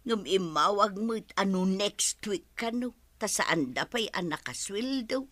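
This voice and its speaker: Bright-voiced Ilocano village wife. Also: female voice, medium pitch, animated, unhurried, no room sound. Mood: resigned. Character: plain-spoken, struggling village wife. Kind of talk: radio drama dialogue.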